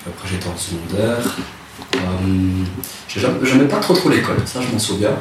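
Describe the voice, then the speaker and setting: A man, telling his life story in a relaxed interview, hesitant and soft-spoken with many restarts. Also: deep voice, a little fast. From Rwanda. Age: 30s. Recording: echoey room, distant mic, noisy.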